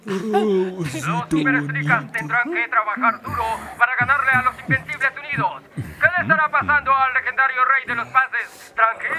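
An excitable cartoon sports announcer with an extremely high pitch. He delivers dramatic commentary while music plays behind him.

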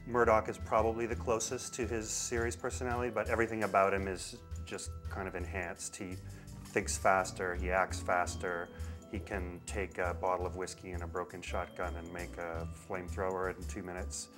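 A man's voice: deep voice, little pitch movement, normal speed, music in the background. A man in his thirties explaining characters in an indoor interview. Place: indoor office with artwork on walls.

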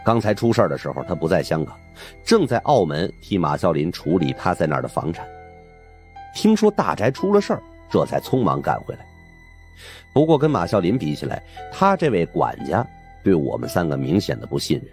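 A man's voice: deep voice, very animated, fairly quick, a little mellow, soft background music. A man narrating an audiobook as a colloquial, conversational storyteller.

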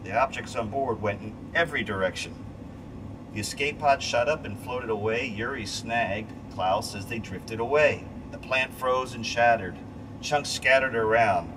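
A middle-aged man reading a rhyming children's storybook aloud in an expressive way, with the odd stumble. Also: mid-range voice, a little slow. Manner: expressive read-aloud delivery with stumbles and rereads. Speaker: story reader. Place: small cramped space station window module. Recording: distant mic, loud steady ventilation hum. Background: loud steady air-conditioning-like hum.

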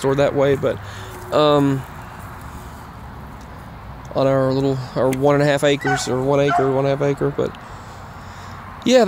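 Halting man over outdoor traffic noise. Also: mid-range voice. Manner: halting, meandering, trailing off and repeating himself. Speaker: vlogger. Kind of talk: casual rambling update vlog while walking. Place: outdoors, walking in a grassy yard near roads. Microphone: earbuds microphone with a phone. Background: passing traffic.

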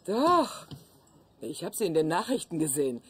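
An actress in a German sitcom dub playing a brisk, casual waitress with a husky voice. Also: female voice, lowish voice, big pitch swings. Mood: matter-of-fact.

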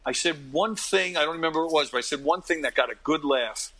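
A fifty-year-old man, chatting casually as an interview guest and telling stories in an animated, conversational way. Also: fairly high pitch, fast talker. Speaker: interview guest, actor and comedian. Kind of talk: casual interview anecdotes and advice.

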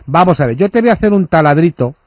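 A man with a Spanish accent from Spain speaks in a fairly high voice, close and dry. He is insistent, repeating himself and cutting in as he plays out a phone prank. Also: lively intonation, fast, dry recording, lo-fi sound. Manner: insistent, interrupting, repetitive, talks over the listener. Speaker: prank caller posing as a kitchen fitter. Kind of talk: phone prank, improvised conversation.

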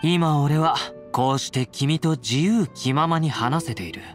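A man playing a confident, playful young warrior in a Japanese anime-style game, teasing and affectionate in a breezy, smooth-talking way. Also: on the high side, a little slow, lightly acted. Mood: confiding. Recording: game audio with background music.

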